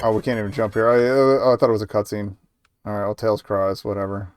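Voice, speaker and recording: A man's voice: lowish voice, fairly even intonation, quick pace. A man hosting a gaming video, giving casual playthrough commentary in a dry, wry manner. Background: game music.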